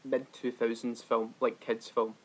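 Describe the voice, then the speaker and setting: A man's voice: medium pitch, flat delivery, a little slow, poor audio. Young man chatting casually. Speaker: podcast co-host. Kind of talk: casual film discussion.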